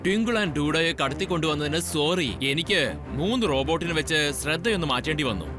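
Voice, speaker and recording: A man's voice: on the high side, expressive, quick pace, over music, lightly acted. A man voicing a boastful villain commander in a children's cartoon dub, gloating, taunting and giving orders theatrically. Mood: gloating, menacing. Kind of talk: dubbed children's cartoon acting.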